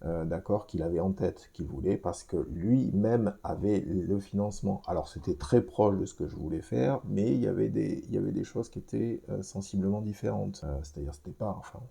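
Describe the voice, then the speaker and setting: A man's voice: low-pitched, a little fast, creaky, few pauses, dark tone, breathy edge. A man in his fifties hosting a film review podcast, talking to listeners in a rambling way with many restarts and fillers. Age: 50s. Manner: addresses listeners directly. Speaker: podcast host.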